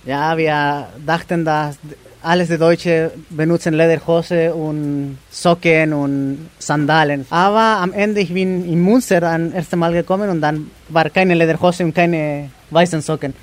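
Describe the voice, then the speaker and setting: A man from Chile, answering interview questions in halting, cheerful German. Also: a little high, fairly even intonation.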